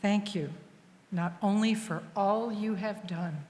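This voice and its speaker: A woman reading a eulogy aloud at a memorial service, slow, measured and gentle. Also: medium pitch. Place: church sanctuary. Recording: long reverb of a church hall.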